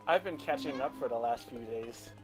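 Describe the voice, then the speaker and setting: A man voicing an earnest young woman, a college student who gently presses for answers, in a fan fiction reading. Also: medium pitch, moderate pace, over music, natural voice, poor audio. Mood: mild, friendly.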